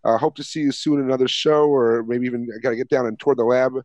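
A man's voice: mid-range voice, fairly even intonation, fast, darkish tone, dry recording. A man hosting a podcast, chatting earnestly with frequent restarts and fillers. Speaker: podcast host. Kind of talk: casual interview chat.

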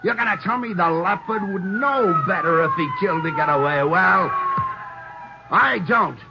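An American actor playing a desperate, bitter escaped killer in a 1950s radio drama, taunting in rough street slang. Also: male voice, high voice, lively intonation, normal speed. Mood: frantic, defiant. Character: desperate, bitter escaped killer, gutter-raised tough guy. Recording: noisy, dull old radio recording.

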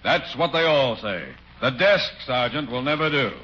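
An actor playing a pushy, wisecracking police desk sergeant in a 1940s radio comedy. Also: male voice, medium pitch, lively intonation, moderate pace, pushed and bright. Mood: needling. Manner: brash, nagging. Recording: muffled, hissy 1940s radio recording.

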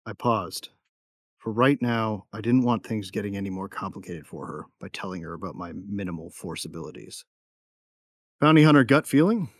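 A man's voice: on the low side, animated, normal speed, no echo, studio quality. A man playing a tough, brooding yet tender bounty hunter, telling his story in the first person in an audio drama. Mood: teasing, tender.

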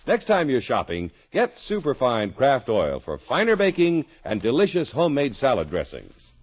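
A man's voice: medium pitch, huge pitch swings, normal speed. A man announcing a 1950s radio show and reading sponsor copy in a polished, emphatic way. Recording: dull old radio recording. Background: music.

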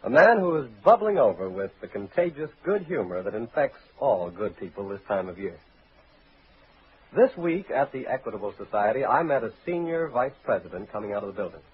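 A man's voice: mid-range voice, sing-song, moderate pace, slightly rough. An American man reading a sponsor message as a 1940s radio announcer, in a storytelling style. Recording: tinny 1940s radio recording.

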